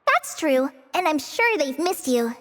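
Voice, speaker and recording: A woman's voice: high-pitched, sing-song, unhurried, a bit bright, long reverb tail, a little hiss or hum, exaggerated voice. A woman voicing a modest, earnest teenage girl ranger in a video game, shy, polite and a little hesitant. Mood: friendly. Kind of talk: animated video game voice acting.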